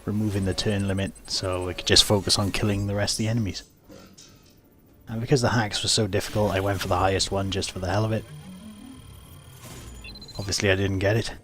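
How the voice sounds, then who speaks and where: A low-pitched man talks through his own gameplay in a casual, matter-of-fact manner. He explains his moves step by step, with music playing behind his voice. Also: little pitch movement, fairly quick, long pauses, a bit bright. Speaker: gamer narrating his own playthrough. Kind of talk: casual game commentary, recounting moves.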